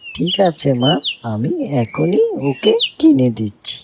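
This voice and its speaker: A woman's voice: lowish voice, wildly swooping pitch, slow pace, vocal fry, background noise, voice pushed down, heavily acted. A woman voicing a devoted, generous male songbird, a husband and father, speaking tenderly and earnestly in a sentimental cartoon. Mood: kindly, reassuring. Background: music and birdsong.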